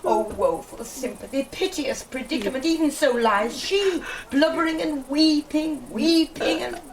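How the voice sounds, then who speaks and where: Lamenting old nurse, fairly high. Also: female voice, measured pace, crowd noise. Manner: wailing, repetitive, emotional. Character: fussing, lamenting older nurse, loyal servant. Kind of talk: classical Shakespearean radio drama acting. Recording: faint hiss, 1990s radio drama CD.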